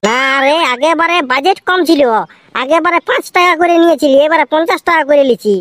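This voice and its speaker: High-pitched, bossy cartoon village ringleader. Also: brisk, a bit bright, slight background noise. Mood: demanding. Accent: rural Purulia Bengali. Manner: pushy, mock-threatening, repetitive. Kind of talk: comic cartoon dialogue.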